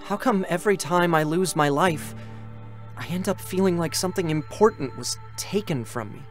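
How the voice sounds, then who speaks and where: Wistful young android, higher male voice by an actor. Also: lively intonation, moderate pace, close to an everyday voice. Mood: wistful, lonely. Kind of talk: dramatic stage reading of a game story. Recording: music behind the voice.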